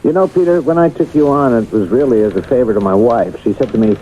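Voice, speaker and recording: An actor in a radio drama playing a paternal but suspicious boss who speaks with measured, probing authority. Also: male voice, medium pitch, dark tone, lightly acted. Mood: disappointed, lecturing. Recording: muffled old radio broadcast, faint noise.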